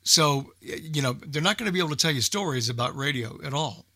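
A man hosting a casual, unscripted radio talk show, speaking in a halting way and repeating words as he thinks. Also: on the high side, lively intonation, bright, forceful tone, dry recording.

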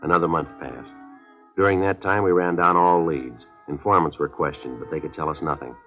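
Deadpan, fast-talking, low-voiced American detective. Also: male voice. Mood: flat, businesslike. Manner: deadpan, clipped, matter-of-fact. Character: terse, businesslike male police detective narrating his case. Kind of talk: 1950s radio police drama, clipped scripted narration. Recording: muffled old radio recording, faint music.

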